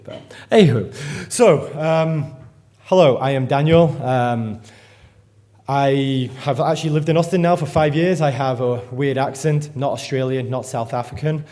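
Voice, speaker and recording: A man's voice: medium pitch, dark tone. A man, giving a rambling, self-deprecating conference talk and swearing freely. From England. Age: 30s. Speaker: conference speaker. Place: conference stage before an audience.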